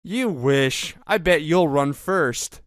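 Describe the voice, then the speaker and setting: A man's voice: on the high side, animated, slow, dry recording, clean studio sound. A man playing a cocky young huntsman in a fan audio drama, flippant and forever teasing his teammates.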